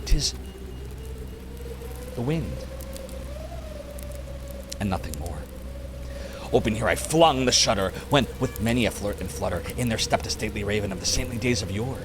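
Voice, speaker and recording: A man's voice: on the low side, fast, hardly any pauses, music in the background. A man narrating a poem as a dramatic reading, theatrical and melodramatic.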